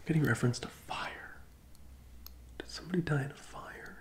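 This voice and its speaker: Male, breathy whisper, ASMR role-play, gentle psychic medium, hesitant soothing delivery, close mic. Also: a little high, moderate pace.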